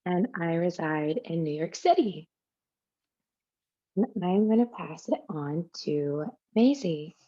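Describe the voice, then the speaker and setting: A woman's voice: on the low side, animated, slow pace, warm tone. A woman, an instructional support specialist, introducing herself plainly from her bedroom on a video call. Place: bedroom, sitting on bed. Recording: dull lo-fi video call audio.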